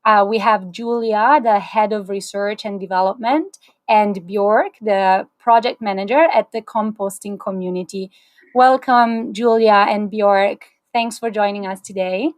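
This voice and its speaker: A woman's voice: medium pitch, steady tone, unhurried, no room sound. A woman in her thirties hosting an online series on a video call, upbeat and chatty with small restarts. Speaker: host. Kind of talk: livestream webinar hosting. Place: small indoor room, plain wall.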